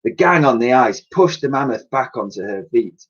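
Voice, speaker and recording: A man reading a children's story aloud as a teacher would, with dramatic, expressive delivery. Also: medium pitch, normal speed, husky. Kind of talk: animated storybook narration. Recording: dull, lo-fi sound.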